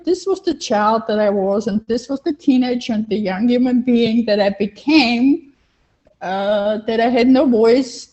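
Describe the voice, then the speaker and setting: Older female coach, Austrian accent, slightly high mellow voice, emphatic personal storytelling, dull lo-fi call recording. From Vienna, Austria. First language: German. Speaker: interview guest, life coach. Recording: dull, lo-fi online call audio.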